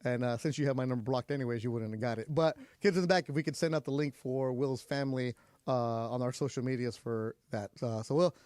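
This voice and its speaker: A man hosting a postgame radio call-in show who gets loud and high whenever he's fired up. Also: quick pace, no echo, clean studio sound. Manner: excitable, jumps high in pitch when fired up. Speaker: radio sports show host.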